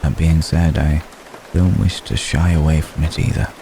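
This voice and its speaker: Very deep, gravelly vampire gentleman played by a man. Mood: grave, warning. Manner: formal, measured, solemn, with long pauses. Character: male vampire gentleman, ancient, brooding, remorseful, tender. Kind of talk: ASMR roleplay, intimate slow dramatic monologue. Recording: steady rain noise behind the voice. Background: rain.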